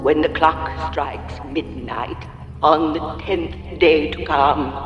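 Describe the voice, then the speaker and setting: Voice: mid-range voice, fairly even intonation, slow pace. A performer playing an ominous fortune teller pronouncing doom in a radio drama, drawn out and drenched in flashback echo. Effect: heavy echo, flashback reverb. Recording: muffled, distant, long reverb, music behind.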